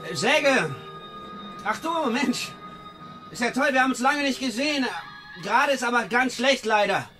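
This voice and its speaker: An actor playing a nervous, evasive debtor who rambles, stammers and pleads ingratiatingly in a German radio crime drama. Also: male voice, extremely high pitch, expressive, normal speed, long pauses, music in the background.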